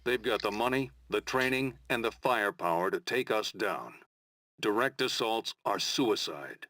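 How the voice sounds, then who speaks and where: An actor playing a commanding rebel leader in a video game, giving a measured, blunt scripted briefing with authority. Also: male voice, fairly low pitch, animated, bright, forceful tone, no room sound, studio quality, heavily acted. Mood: resolute briefing.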